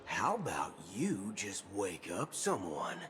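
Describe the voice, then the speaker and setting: An actor playing a brash, roguish bluffer in a scripted video game, cocky and informal. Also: male voice, on the low side, wildly swooping pitch, slow pace, exaggerated voice. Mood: cocky bluffing. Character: brash, roguish male impostor, casually bluffing. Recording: music behind, game stream.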